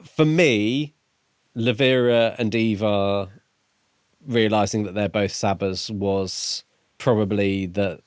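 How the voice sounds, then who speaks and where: A man's voice: fairly low pitch, fairly even intonation, slow, clean tone, dry recording, studio quality. A man co-hosting a podcast in a casual discussion about books. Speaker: podcast co-host.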